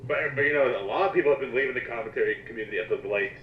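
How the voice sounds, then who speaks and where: A man co-hosting a casual commentary chat with sarcastic, animated joking banter. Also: medium pitch, very fast, a crowd in the background. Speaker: commentary co-host. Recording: echoey, dull lo-fi mic with noise.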